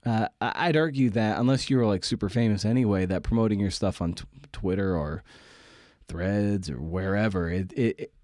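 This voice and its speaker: A man hosting a podcast in a casual, confessional monologue, halting and self-deprecating, with casual swearing. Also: lowish voice, darkish tone, dry recording, studio quality. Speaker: podcast host. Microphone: close podcast microphone.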